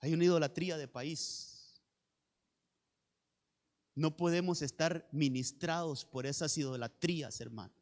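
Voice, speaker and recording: A man's voice: on the high side, unhurried, pauses often, a little mellow. A man with a Honduran Spanish accent preaching an evangelical sermon, exhorting listeners directly and repeating phrases for emphasis. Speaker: pastor. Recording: long hall reverb.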